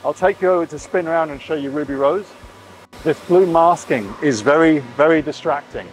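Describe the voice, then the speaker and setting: A man's voice: on the high side, moderate pace, soft background music, rough recording. A man in his forties giving an enthusiastic, emphatic walk-through tour to camera as a vlog host, repeating phrases and wearing a lapel mic. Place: inside a boat under construction and a large boatyard shed. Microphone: clip-on lapel mic.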